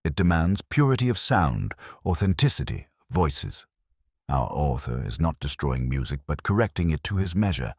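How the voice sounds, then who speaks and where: A mild, cryptic inspector from a detective story, as a male narrator reads him. His voice is very deep and husky, and he muses formally and without hurry. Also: big pitch swings, normal speed, no room sound, studio quality, heavily acted. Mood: grave, musing.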